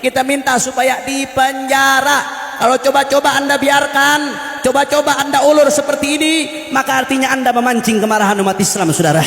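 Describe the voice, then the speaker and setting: A man delivers a fiery sermon, shouting in a very high, strained register. His voice is gravelly, and he keeps calling out to the crowd. It rings through an echoey hall with a long reverb. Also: fairly even intonation, brisk. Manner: impassioned, shouting, repeatedly addresses the crowd. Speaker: preacher.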